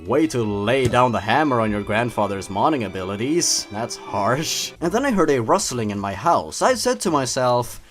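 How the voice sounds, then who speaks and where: Theatrical man with wildly swinging pitch, over music. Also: big pitch swings, moderate pace, few pauses. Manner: theatrical, mocking, exaggerated emphasis. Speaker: host of a story-reading comedy show. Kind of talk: comedic narration and commentary on a horror story.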